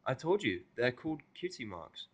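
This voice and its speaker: A studious young mare unicorn played by a man speaks in a raised pitch. She is earnest and easily flustered, choosing formal, pedantic words in a fan-made cartoon audio story. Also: very animated, moderate pace, slightly rough.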